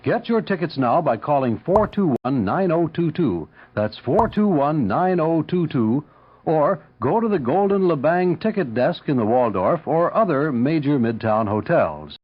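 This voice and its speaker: A man's voice: fairly high pitch, very animated, a little slow, breathy edge. A man reading a vintage radio commercial in an emphatic, salesman-like announcer style. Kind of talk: 1970s radio advertisement read. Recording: dull lo-fi old radio broadcast.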